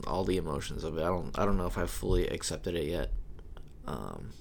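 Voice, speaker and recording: A young man co-hosting a casual podcast, speaking slowly and haltingly with many pauses. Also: low-pitched, expressive, creaky, a little hiss or hum. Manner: slow, halting, soft-spoken with many pauses. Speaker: podcast co-host.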